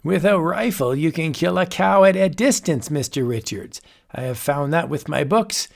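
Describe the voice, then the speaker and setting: A man's voice: fairly high pitch, expressive, normal speed, gravelly. A man voicing a grandiose, unhinged old male warlock who rants theatrically and rises to shrieks. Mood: gloating. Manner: ranting, theatrical, rising to shrieks.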